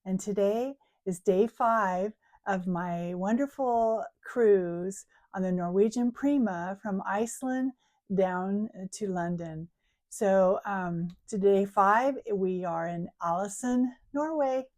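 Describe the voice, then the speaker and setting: A middle-aged woman vlogging about her cruise in a chatty way, full of ums and restarts, with a croaky, hoarse voice. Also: medium pitch, slow, a little mellow. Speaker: travel vlogger. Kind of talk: casual vlog chat.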